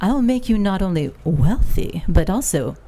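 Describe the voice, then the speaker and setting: A woman's voice: mid-range voice, very animated, measured pace, warm tone. A woman voicing a proud, cunning young princess in a theatrical live reading. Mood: scheming.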